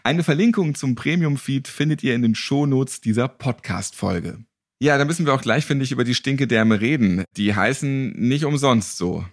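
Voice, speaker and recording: A male podcast host speaks in a mid-pitched voice, recorded dry. His delivery is colloquial and playful, with flippant exaggeration as he chats and asks questions. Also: normal speed, no echo. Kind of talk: casual, jokey interview questions and podcast announcements.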